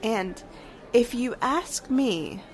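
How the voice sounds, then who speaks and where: Actress as society busybody, affected, insinuating, slow delivery, upper-pitched, 1940s radio drama. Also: female voice, animated, a faint crowd. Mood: gossipy. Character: gushing, suspicious small-town society gossip lady.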